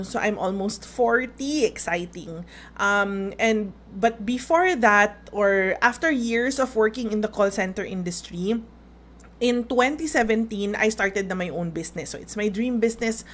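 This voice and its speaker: A woman in her late thirties with a Filipino accent, hosting an informal webinar in a chatty, conversational way. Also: mid-range voice. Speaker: host, webinar instructor. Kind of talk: informal webinar talk, mixing Tagalog and English.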